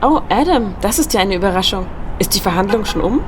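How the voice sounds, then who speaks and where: A woman's voice: medium pitch, animated, quick pace, lightly acted. A woman playing a familiar, seemingly innocent suspect in an audio drama. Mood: surprised, protesting. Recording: clearly noisy street ambience behind.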